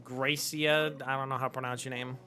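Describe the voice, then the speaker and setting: A man's voice: medium pitch, flat delivery, brisk, sharp tone. A man live streaming a video game with casual, flippant, wisecracking commentary.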